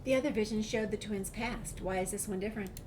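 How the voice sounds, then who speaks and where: A woman's voice: medium pitch, fairly quick, darkish tone. A woman playing through a video game and reading the player's dialogue aloud. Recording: clear background noise, game ambience.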